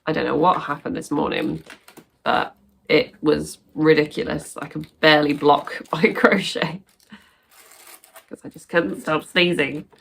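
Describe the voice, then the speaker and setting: Woman, crafting vlogger, casual chat, bunged-up sniffly voice, rambling, gravelly fry. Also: deep voice, steady tone, a little slow, fairly bright tone, slight background noise. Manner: chatty and rambling, laughs often. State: stuffy nose from sneezing.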